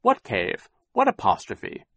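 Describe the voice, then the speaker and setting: A man voicing a fussy middle-aged gentleman in an audiobook, fretful and patronising, with emphatic delivery. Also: high voice, wildly swooping pitch, slow, dry recording, pitched above the performer's own voice, exaggerated voice. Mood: baffled.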